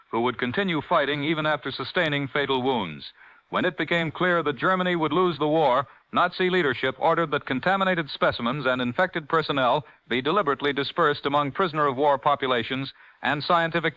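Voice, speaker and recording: A man's voice: mid-range voice, sharp tone, no echo. A man playing a grave 1940s radio news anchor with a formal, dramatic announcer delivery. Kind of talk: 1940s radio newscast acting. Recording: dull lo-fi vintage radio broadcast.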